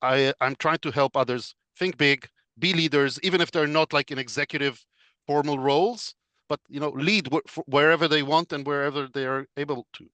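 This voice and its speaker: A man, a guest on a podcast interview over a video call, explaining in a measured way with frequent fillers. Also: fairly high pitch, sharp tone, no room sound. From Israel. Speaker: podcast guest, business consultant. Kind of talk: casual interview chat.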